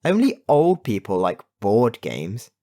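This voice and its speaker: A man's voice: on the low side, huge pitch swings, slow, warm tone, heavily acted. A man voicing a bold, cheeky young girl in a children's audiobook, quipping and exclaiming. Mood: complaining.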